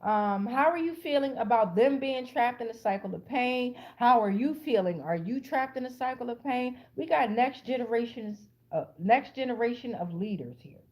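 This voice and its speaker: A woman's voice: a little high, lively intonation, normal speed, few pauses, a little mellow. An American woman giving a casual, improvised tarot reading to an online audience, blunt and streetwise, swearing freely and repeating phrases for emphasis. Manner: profanity-laced, streetwise, blunt. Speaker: tarot card reader, channel host.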